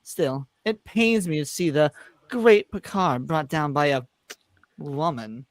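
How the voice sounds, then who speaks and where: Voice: medium pitch, slow, no echo, lightly acted. A performer in an amateur live script read playing Q as a taunting, theatrical, omnipotent trickster alien with a mock-wounded delivery.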